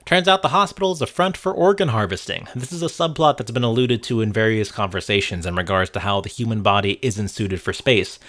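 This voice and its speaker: A man's voice: on the low side, a little fast, few pauses, a bit bright. A man narrating a casual scripted video game retrospective, with wry, sarcastic asides.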